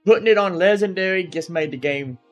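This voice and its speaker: A man's voice: high-pitched, a little fast. A man giving casual live gameplay commentary as a streamer, rambling and thinking aloud. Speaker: game streamer, host. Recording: game music behind the voice. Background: game music.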